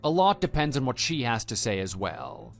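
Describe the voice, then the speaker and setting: Actor as a measured, level-headed husband. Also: male voice, mid-range voice, very animated, faint music behind. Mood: skeptical, controlled. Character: wary, level-headed husband, blunt and skeptical. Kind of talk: scripted texting-story radio drama.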